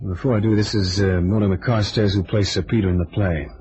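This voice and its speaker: Wry low-voiced man acting a radio detective. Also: steady tone, fast talker, a little mellow, natural voice. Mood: persuasive, wry. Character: wry, tough but affable amateur sleuth. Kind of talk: old-time detective radio drama acting. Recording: dull, lo-fi vintage radio recording.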